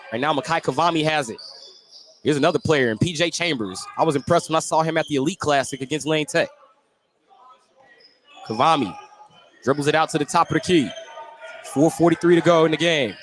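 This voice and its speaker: Higher-voiced man calling live basketball. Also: moderate pace, pauses often. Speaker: play-by-play sports commentator. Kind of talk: live radio basketball play-by-play commentary. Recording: live gym broadcast with background noise. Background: gym ambience, bouncing basketball.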